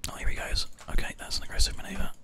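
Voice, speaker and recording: A game host giving ASMR gameplay commentary in a breathy whisper, close on a binaural microphone. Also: racing. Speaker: gaming video host. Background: keyboard and mouse clicks.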